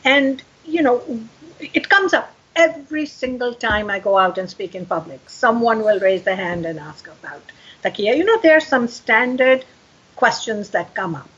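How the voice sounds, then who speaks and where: An older woman whose first language is Urdu, speaking earnestly as a podcast guest, often pausing with fillers. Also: a little high, animated, fairly bright tone, slightly rough, dull audio. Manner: earnest, many fillers and restarts. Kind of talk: podcast interview.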